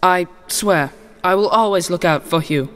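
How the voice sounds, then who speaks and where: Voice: mid-range voice, unhurried, a bit bright, clean tone, in a large hall, lightly acted. A performer playing a reluctant, squabbling young boy, the older brother, in a historical radio drama. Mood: grudging.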